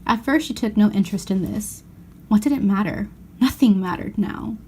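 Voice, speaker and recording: A woman reading a children's novel aloud as an expressive storyteller. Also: mid-range voice, moderate pace, slight background noise. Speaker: storybook reader.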